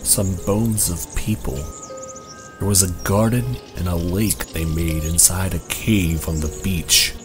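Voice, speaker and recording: A very low-voiced, grave druid father played by a man in a fantasy audio drama. He speaks in a subdued, measured way, with music clearly playing underneath. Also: steady tone. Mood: grave, thoughtful. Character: grave, thoughtful swamp elf druid father.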